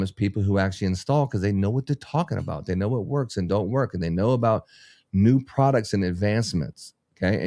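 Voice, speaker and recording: A man's voice: fairly low pitch, darkish tone, no echo, clean studio sound. A man who owns a business, talking blunt and rambling in a casual podcast chat and swearing freely as he gives advice. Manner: blunt, profane, rambling, motivational. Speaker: business owner and podcast host.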